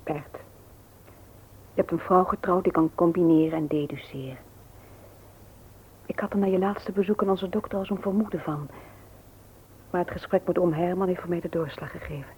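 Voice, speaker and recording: An actress playing an earnest, gently persuasive married woman in a radio play, often hesitant and soft. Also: lowish voice, normal speed, pauses often, a little hiss or hum, close to an everyday voice, low-quality recording. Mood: earnest, wounded. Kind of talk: Dutch radio play acting.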